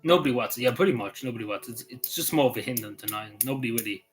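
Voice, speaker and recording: An Irish man rattles along at a quick clip, answering his live chat with a loose, rambling, easygoing delivery. His speech is full of "you know" and restarts, his pitch sits mid-range, and faint music plays quietly behind him. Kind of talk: casual livestream chat.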